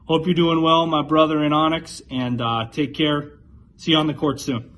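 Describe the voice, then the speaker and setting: A man's voice: on the high side, little pitch movement, moderate pace, fairly bright tone. A man sending in a friendly, joking recorded question for a podcast. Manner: friendly, jokey. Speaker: pickleball pro sending a question.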